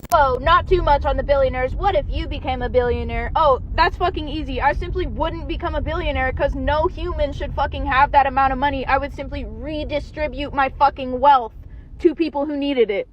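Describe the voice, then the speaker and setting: A woman ranting loudly and profanely, exasperated, in a social media video. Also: sits high, a little fast, dull audio, slight background noise.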